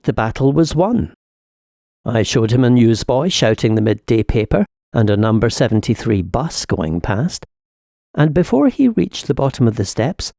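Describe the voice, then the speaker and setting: A man's voice: medium pitch, vocal fry, dark tone, dry recording, studio quality. A man voicing an urbane senior demon in a dramatic audiobook, lecturing his young nephew with pompous, sly smugness. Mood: smug, reminiscing. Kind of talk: dramatic audiobook reading of epistolary satire.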